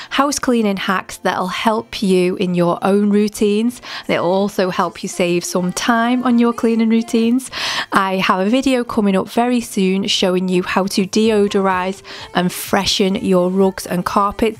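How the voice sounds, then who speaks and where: A young woman talks viewers through her cleaning routine in a scripted voice-over. Her voice sits at a middling pitch, and she keeps an upbeat, promotional tone as she addresses them directly. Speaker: cleaning channel host.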